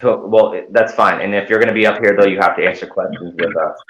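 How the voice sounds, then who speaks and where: A man's voice: deep voice, quick pace, slight background noise, rough recording. A man hosting a casual chat show, stammering and mock-earnest. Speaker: podcast host.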